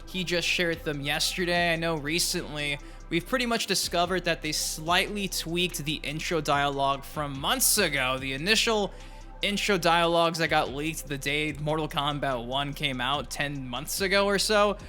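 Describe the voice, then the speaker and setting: A man hosts a gaming news video in a forceful, bright voice that sits a little high. His delivery is informal and chatty, and he leans on words for emphasis. Music plays softly behind him. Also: normal speed. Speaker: YouTube gaming news host. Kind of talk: casual gaming news commentary. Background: background music.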